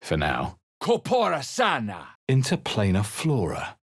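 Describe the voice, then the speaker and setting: A man voicing a guarded, wry adventurer in a video game, with a husky voice and terse, dramatic delivery. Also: mid-range voice, huge pitch swings, measured pace, no echo, studio quality, heavily acted. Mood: commanding incantation. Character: guarded adventurer hero, wry, suspicious, casts Latin spells. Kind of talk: video game character voice lines.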